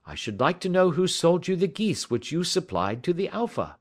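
Audiobook part: shrewd gentleman sleuth, played by a man, nonchalant and courteous, pitched up with a lilting swing. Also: very animated, normal speed. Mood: careless, nonchalant. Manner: careless, polite, lilting. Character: cool, shrewd gentleman detective needling for information. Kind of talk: audiobook character dialogue.

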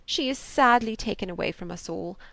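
Woman reading as a fretful, creaky old gentleman. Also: medium pitch, normal speed, dry recording. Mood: plaintive. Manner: plaintive, hesitant, sighing, rambling. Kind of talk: audiobook character reading of a classic novel.

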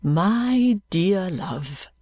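A woman voicing an earnest, considerate gentleman in an audiobook, polite and composed. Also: on the low side, animated, extremely slow, no echo, heavily acted. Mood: tender, grave.

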